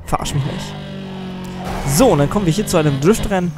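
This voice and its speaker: Teen let's-play gamer, slightly high voice, loose rambling commentary, mild cold, game music underneath. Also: male voice, fairly quick. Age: teens. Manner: rambling, chatty, self-deprecating. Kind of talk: casual gameplay commentary. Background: game music and car sounds.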